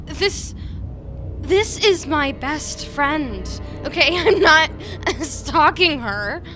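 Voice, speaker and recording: An awkward, clingy young woman with simmering resentment. Her voice is very high and highly animated, flustered and emphatic as she defends herself. The delivery is contemporary audio drama. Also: extremely slow, soft background music, exaggerated voice. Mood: defensive.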